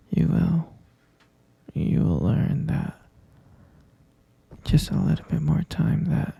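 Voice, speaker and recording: A man playing an obsessive, possessive lovesick kidnapper boyfriend in an ASMR roleplay, cooing and coaxing insistently in a breathy, husky whisper. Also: on the high side, dark tone, dry recording, exaggerated voice. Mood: adoring, possessive.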